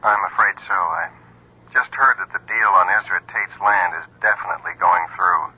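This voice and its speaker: A man's voice: deep voice, normal speed, slightly rough, muffled recording. A man acting a glum friend through a telephone filter in a 1970s radio drama. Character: glum male friend bearing bad news.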